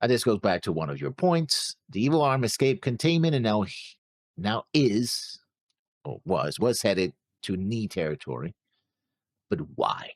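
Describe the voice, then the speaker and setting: Low-voiced, halting man with swinging intonation. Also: expressive, no room sound, clean studio sound. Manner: halting, searching for words. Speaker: reaction show co-host. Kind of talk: casual discussion, talking through notes.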